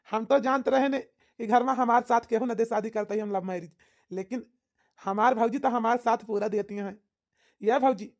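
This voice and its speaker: Give me a woman playing an emphatic young Awadhi-accented man in a cartoon, with a high voice. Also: close to an everyday voice. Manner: loud and emphatic. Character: young man, earnest, defiant son. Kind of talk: cartoon voice acting.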